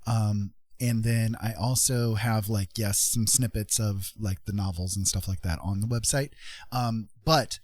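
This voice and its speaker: A man with a fairly low voice chats casually as he hosts a livestream. He rambles along in a self-deprecating way, and the sound is close and dry. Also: steady tone, a little slow, a little mellow, dry recording. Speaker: livestream host, author and artist.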